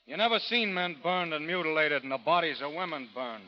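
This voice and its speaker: An actor playing a steady, wry frontier gunman with an American accent, understated and drawling, in old radio drama style. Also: a little high, fairly quick, close to an everyday voice. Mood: grave, insistent. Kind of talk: 1930s-40s radio drama acting. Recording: dull vintage radio recording.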